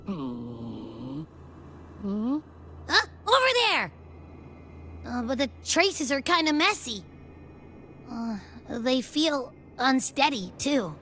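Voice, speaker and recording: A woman voicing a small, childlike game companion creature, curious and eager, with a squeaky, cutesy voice. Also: takes it slowly, long pauses, a little hiss or hum, exaggerated voice. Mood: curious, unsure. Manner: hesitant hums, excitable exclamations. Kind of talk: video game voice acting. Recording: music behind the voice.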